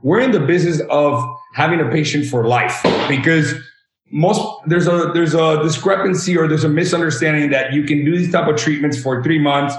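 A man's voice: on the high side, normal speed, a little hiss or hum. A man in his thirties from Miami, explaining earnestly as an interview guest. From Miami, Florida; born in Venezuela. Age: 30s. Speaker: guest, age management practitioner. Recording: roomy, echoey sound.

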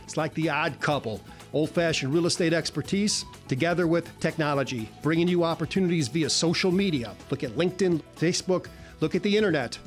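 Man, slightly high pitch, scripted ad, deliberate sales delivery, light guitar behind. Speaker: company chief executive. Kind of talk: scripted radio advertisement. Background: light guitar music.

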